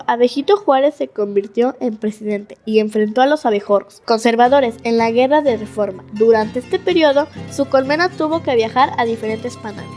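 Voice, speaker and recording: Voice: medium pitch, a little mellow. A child narrator reading a scripted story for a school audio drama.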